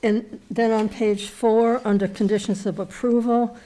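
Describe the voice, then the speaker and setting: A woman with a slightly raspy, mellow, dark voice leads a small-town planning board meeting. She talks things through in plain terms, stopping and repeating words as she finds them. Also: a little high, fairly even intonation, measured pace, rough recording. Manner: hesitant, repeats words while thinking. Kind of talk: municipal meeting discussion.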